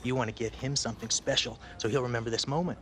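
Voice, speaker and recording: A man's voice: mid-range voice, fairly even intonation, quick pace, a bit bright, slight background noise, natural voice. A man playing an intense film director in a video game cutscene, coaxing with short, emphatic, repeated prompts.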